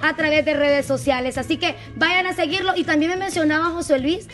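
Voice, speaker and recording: A woman's voice: sits high, a little fast, soft background music. A woman hosting a live television interview, asking questions and addressing viewers as presenter. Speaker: TV host, interviewer. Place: TV studio set with sofas.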